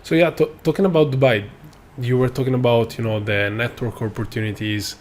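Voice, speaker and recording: A man's voice: medium pitch, fairly even intonation, fairly quick, a little mellow, slight background noise. A man hosting a podcast, asking questions and giving short encouraging replies. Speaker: podcast host. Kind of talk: casual interview.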